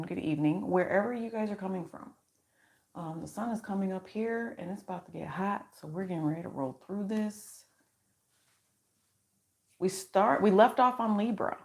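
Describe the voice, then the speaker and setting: A woman with a low voice hosting a casual livestream card reading into a microphone, chatty and reassuring as she speaks in affirmations. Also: creaky, darkish tone. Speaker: tarot reader and channel host.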